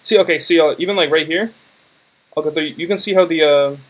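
A man's voice: a little high, fairly quick. A man giving an informal, rambling screen-recording walkthrough of his visual effects work, hesitant and thinking aloud. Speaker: visual effects artist presenting work in progress.

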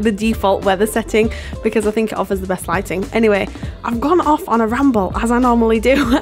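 Raspy-voiced woman rambling over a speed build, kinda high voice, soft music under her. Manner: rambling, apologetic, laughs often. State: hoarse, losing her voice. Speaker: game builder and video host. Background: soft background music.

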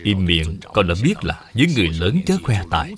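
Stern wise cartoon father, played by a man, low pitch, didactic, authoritative, grave, background music. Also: very animated, brisk. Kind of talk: dubbed educational cartoon acting.

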